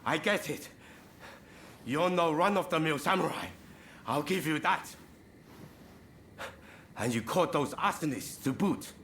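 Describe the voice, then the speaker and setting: A man's voice: fairly high pitch, lively intonation, unhurried, long pauses, a bit bright, a little hiss or hum. A man playing a frank, informal chief in a samurai video game, with a blunt way of talking. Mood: grudging respect. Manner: blunt, informal, plain-spoken. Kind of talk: video game cutscene acting.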